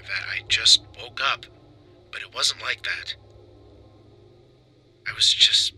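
Slow, shaken man, sharp bright voice. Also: medium pitch. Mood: insistent, defeated. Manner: halting, hesitant, conversational. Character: shaken, bald hotel guest insisting his nightmare was real. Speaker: tipline caller. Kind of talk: dramatic monologue in audio fiction.

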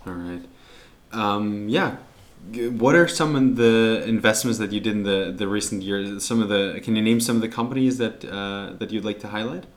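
A man's voice: on the low side, normal speed. A man interviewing a guest, asking questions with hesitations and fillers.

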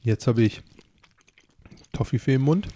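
Relaxed man with a dark, mellow tone. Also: mid-range voice, a little slow, slight background noise. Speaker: podcast co-host. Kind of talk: casual chat.

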